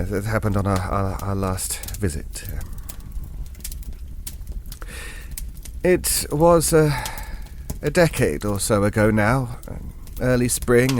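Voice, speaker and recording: A man's voice: mid-range voice, slight background noise, close to an everyday voice. An actor playing a genteel older Englishman, telling a ghost story in an audio drama in a hesitant, digressive, politely formal and wistful way.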